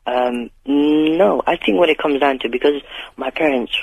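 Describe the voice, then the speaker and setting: A man's voice: a little high, normal speed, vocal fry, slightly rough. A fifteen-year-old male caller with a London accent, talking candidly in emphatic slang and repeating his phrases, on a radio phone-in. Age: 15, teenager. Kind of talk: candid informal conversation. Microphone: telephone. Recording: phone call on talk radio.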